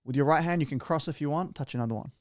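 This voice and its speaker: A man's voice: on the high side, very fast, no room sound, studio quality. A man hosting a podcast, chatting casually and playfully with his guest, often restarting his sentences. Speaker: podcast host.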